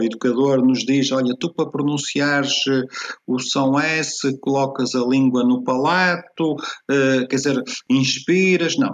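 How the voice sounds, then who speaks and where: A man with a European Portuguese accent explaining things conversationally as an interview guest, repeating words for emphasis. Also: medium pitch. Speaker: interview guest, expert on language.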